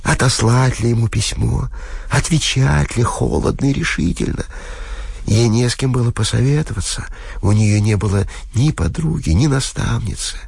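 A man narrating a literary audiobook expressively, with measured, dramatic phrasing and deliberate pauses. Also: medium pitch, vocal fry, a little mellow, slightly rough, no echo.

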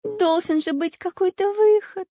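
Storybook casting: adult woman as scared small girl, very high, plaintive, exclamatory. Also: measured pace, dark tone, no echo, muffled recording. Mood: tearful. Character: frightened little girl, quick to cry out. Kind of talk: children's storybook character voice.

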